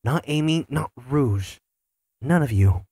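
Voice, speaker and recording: A man's voice: mid-range voice, expressive, takes it slowly, warm tone, husky, no echo, studio quality, heavily acted. A man voicing a young male hedgehog hero who feels betrayed by his friends and speaks coldly and resentfully. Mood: bitter. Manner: cold, resentful.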